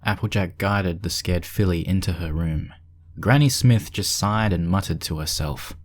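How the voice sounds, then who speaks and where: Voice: low voice, moderate pace. A narrator reading a scripted fan fiction story aloud.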